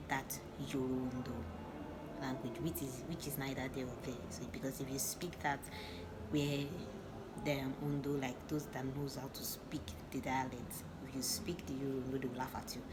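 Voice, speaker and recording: A young woman with a Nigerian accent telling a personal story to camera in a casual, chatty way, laughing often and restarting her sentences. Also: extremely low pitch. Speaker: vlogger. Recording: loud background noise.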